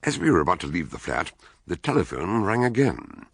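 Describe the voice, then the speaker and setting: A ponderous old-school radio drama sleuth, very deep gravelly voice, swoops around as he narrates. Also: very animated, no echo, studio quality, exaggerated voice. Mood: measured narration. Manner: measured, literary, theatrical. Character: ponderous, learned doctor sleuth recounting his case. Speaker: detective and narrator.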